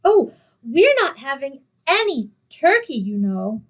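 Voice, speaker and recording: Radio comedy ingenue played by a woman: dim, sweet, naive young blonde, very high lively voice. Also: slow pace, full character voice. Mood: earnest. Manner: naive, earnest, guileless. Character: sweet, dim-witted, literal-minded young blonde roommate. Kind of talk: old-time radio comedy acting.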